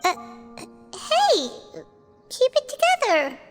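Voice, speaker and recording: A tiny fairy girl from a video game, extremely high and squeaky. She blurts things out in a chirpy, childlike way, swooping up and down, with music playing behind her. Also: wildly swooping pitch, takes it slowly, full character voice. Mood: alarmed. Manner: exclamatory, blurting.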